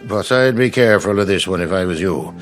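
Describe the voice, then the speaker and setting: An actor playing a gruff, skeptical desk sergeant in a radio drama, with a folksy, mocking manner. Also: male voice, deep voice, fairly quick, faint music behind, close to an everyday voice. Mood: polite, wary. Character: gruff, sardonic desk police sergeant, world-weary.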